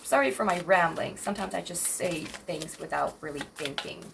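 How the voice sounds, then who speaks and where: A young woman in her twenties talks through a tarot reading in a low, gravelly, slightly raspy voice. She hesitates and fills gaps with ums, breaking off mid-sentence. A faint noise sits under the recording. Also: moderate pace. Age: 20s. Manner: hesitant, rambling, self-interrupting with many fillers. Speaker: tarot reader.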